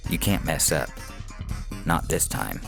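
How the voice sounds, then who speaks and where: A man's voice: rumbling low, lively intonation, slow, gravelly, a bit bright. A man narrating a scripted short story as a podcast reader, in the second person. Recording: music bed under the voice. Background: music.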